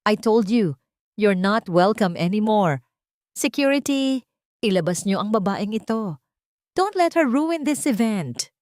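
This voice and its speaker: A woman's voice: medium pitch, lively intonation, unhurried, darkish tone, no echo, studio quality, voice pushed up, close to an everyday voice. A woman voicing an angry, arrogant husband and CEO in an audio drama, commanding and switching into English.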